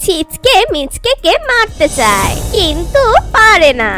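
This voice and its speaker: A woman narrates a children's tale from a script. Her voice is high and lilts widely, with faint music playing behind it. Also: very animated, measured pace, sharp tone.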